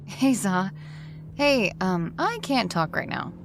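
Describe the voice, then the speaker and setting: A woman's voice: mid-range voice, lively intonation, slow pace, background noise. An actress playing a flustered, harried woman in an audio drama, speaking expressively. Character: flustered, harried talk show woman, often late.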